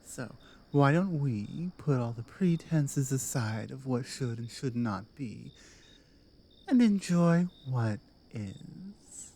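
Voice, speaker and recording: A woman plays an imperious orc queen in an intimate ASMR audio drama. She speaks slowly and deliberately in a dark, mellow tone, ruthless and distrustful yet seductive, leaving weighty pauses between phrases. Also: a bass voice, expressive, voice pushed down, heavily acted. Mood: sultry, teasing.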